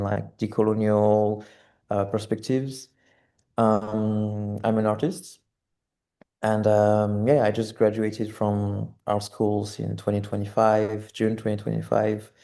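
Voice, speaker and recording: A man's voice: lowish voice, creaky, warm tone. A man in his twenties from French Guiana, an artist talking reflectively as an interview guest, hesitant and searching for words.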